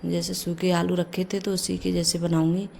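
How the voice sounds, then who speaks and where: Hoarse mom vlogging while she cooks, low gravelly voice, super flat, kitchen hum behind. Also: normal speed. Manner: chatty, leans on the filler "jaise". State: hoarse from a cold. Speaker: vlogger, mother. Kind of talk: casual home vlog narration. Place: home kitchen.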